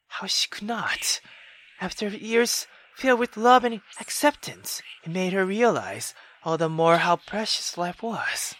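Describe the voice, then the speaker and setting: A man reading a visual novel aloud theatrically as a gaming YouTuber, with excitable, sweary commentary. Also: high-pitched.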